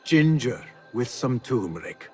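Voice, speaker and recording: Young assassin guy, sincere and measured, lively melody, game cutscene with soft music. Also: medium pitch. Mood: friendly. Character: earnest, soft-hearted young male assassin. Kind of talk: dramatic video game acting.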